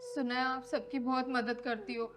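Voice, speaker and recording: A woman's voice: high voice, steady tone, moderate pace, lightly acted. A young woman playing an earnest, pleading runaway bride in a Hindi moral drama.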